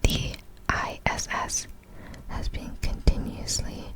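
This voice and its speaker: A woman speaks entirely in a soft, breathy whisper in the style of an ASMR video. She reads facts very slowly, in short halting phrases. Her manner is apologetic, and she tends to stumble over difficult words.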